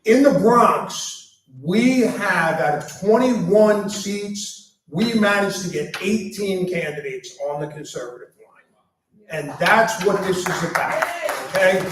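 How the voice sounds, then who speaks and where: A man's voice: high voice, takes it slowly, a bit bright, slight background noise. A man who chairs a county party, speaking bluntly and emphatically at a panel discussion. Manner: blunt, emphatic, repeats "okay?". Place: club meeting room. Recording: echoey room, mic at a distance.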